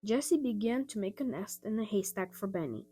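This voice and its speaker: A woman narrating a children's audiobook in an expressive storytelling style. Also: medium pitch, normal speed, slightly rough, soft background music. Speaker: storybook reader.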